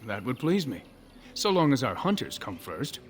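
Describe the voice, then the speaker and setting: An actor playing a proud, fussy elven master craftsman in a video game, speaking formally and with measured scolding. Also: mid-range voice, very animated, faint music behind, natural voice. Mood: reserved, obliging. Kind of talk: video game character dialogue.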